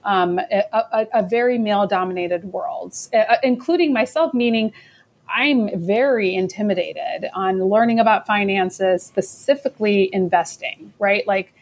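A woman's voice: mid-range voice, measured pace. Chatty woman podcast host. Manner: chatty, animated, joking. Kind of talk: casual interview chat.

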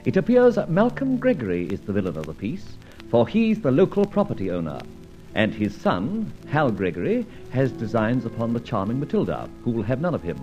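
A man playing a suave, wry gentleman adventurer in an old radio drama, urbane and wordy, with a drily witty manner. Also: mid-range voice, huge pitch swings, fairly quick, soft background music. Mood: wry, narrating. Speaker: narrator and lead actor. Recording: dull old radio recording.

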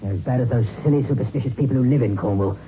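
An actor playing an impatient sceptic who is scornful of superstition, brusque and lecturing, in 1960s radio drama style. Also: male voice, mid-range voice, very fast, natural voice. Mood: dismissive, insistent. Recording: muffled, hissy old radio recording.

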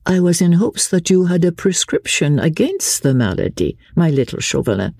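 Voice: mid-range voice, big pitch swings, normal speed, creaky, a little mellow, no echo, studio quality, pitched above the performer's own voice. A narrator voicing a witty, playful young French aristocratic woman, bored and teasing, in an audiobook. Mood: cool, playful. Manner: playful, sighing, teasing, with light laughs.

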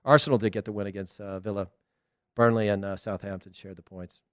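A middle-aged man with a Southern American accent, hosting a casual soccer talk show, chatty and animated with frequent laughs. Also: fairly low pitch, a little fast, smooth, no room sound, clean studio sound. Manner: chatty, animated, laughs often, loose restarts. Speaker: soccer podcast host. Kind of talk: casual solo commentary.

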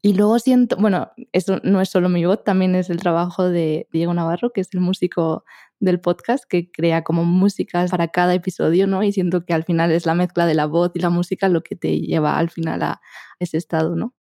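A young woman from Spain with a Spanish accent, a meditation podcaster chatting casually as a guest, soft-spoken and laughing often. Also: fairly low pitch, little pitch movement, fairly quick, vocal fry, dry recording, clean studio sound. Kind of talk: casual interview chat.

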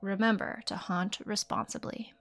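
A woman's voice: mid-range voice, fairly even intonation, moderate pace, a bit bright. A woman who created the show, reading scripted podcast announcements in a playful, upbeat manner. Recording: dry, faint music behind.

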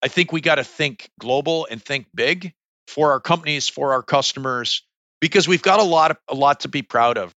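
A Canadian man in his fifties speaks as a CEO guest on a business podcast. His tone is bright and forward, and he sounds upbeat and earnest, often restarting a phrase. The recording is dry and close to the mic. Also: on the high side, moderate pace, no echo, highs cut off. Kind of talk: podcast interview.

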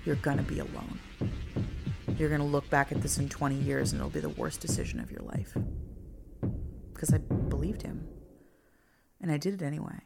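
Low, very creaky woman under loud music. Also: animated, normal speed, long pauses. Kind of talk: personal recollection in conversation. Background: loud instrumental music.

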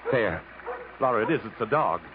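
An actor playing a determined, protective adventurer in an earnest, urgent, dramatic 1950s radio style. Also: male voice, on the low side, lively intonation, moderate pace, crowd noise, natural voice. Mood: urgent, hopeful. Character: determined, protective English adventurer stranded in the jungle. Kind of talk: 1950s American radio drama acting, with first-person narration. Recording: muffled, noisy 1950s radio broadcast.

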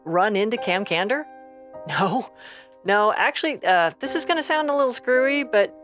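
An actress playing a friendly, candid podcast creator in a casual, slightly hesitant phone chat. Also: female voice, a little high, expressive, normal speed, fairly bright tone, soft background music.

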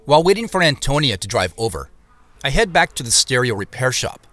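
An actor playing the inner narrating voice of a wary man, giving measured scripted narration in an audio drama. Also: mid-range voice, big pitch swings, sharp tone. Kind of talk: scripted first-person present-tense narration.

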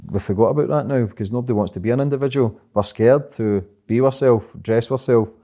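A young man talking fast and rambling as an interview guest, swearing often and repeating "do you know what I mean". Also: mid-range voice, warm tone. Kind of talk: casual interview chat.

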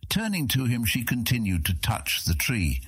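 A man's voice: medium pitch, wildly swooping pitch, normal speed, a bit bright, breathy edge, no room sound. A man narrating a fantasy audiobook in a dramatic, scripted storytelling style.

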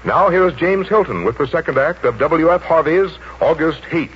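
A man's voice: on the high side, animated, moderate pace. An American man working as a radio announcer, reading a script in a polished, sincere 1940s style. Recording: dull, noisy 1940s radio recording.

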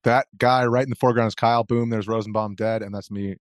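A man with a fairly low voice talks quickly as an interview guest. His account is casual and matter-of-fact, recorded dry and close. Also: fairly even intonation, dry recording. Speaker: interview guest, video journalist. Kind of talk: casual first-hand recounting.

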